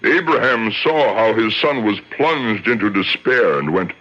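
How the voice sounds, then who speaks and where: Casting: stern religious judge and exorcist, slow measured declamation, bright forceful tone, radio play. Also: male voice, fairly low pitch, expressive. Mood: solemn. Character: solemn, commanding rabbi judge conducting a tribunal and exorcism. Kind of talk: theatrical radio drama acting. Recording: dull lo-fi old radio recording.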